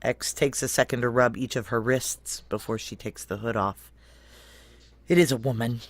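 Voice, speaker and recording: An actor playing a tense, deferential security chief in an audio drama, narrating in a clipped, wry American voice. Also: male voice, medium pitch, fairly even intonation, normal speed, lightly acted. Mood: tense narration. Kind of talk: audio drama acting with first-person present-tense narration.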